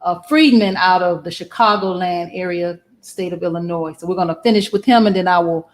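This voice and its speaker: A woman hosting a political livestream in casual chat, her voice raspy and hoarse from yelling, talking to her viewers as family. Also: fairly low pitch, brisk, a little hiss or hum. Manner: chatty, warm toward viewers, addresses audience as family. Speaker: political show host. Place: livestream setup in Atlanta.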